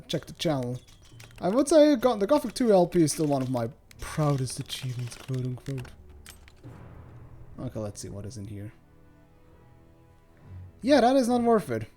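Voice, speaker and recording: Animated, mellow-voiced male game streamer. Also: on the high side, measured pace, long pauses. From Switzerland. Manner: rambling, chatty, jokey swearing. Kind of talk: casual livestream commentary. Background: soft game music.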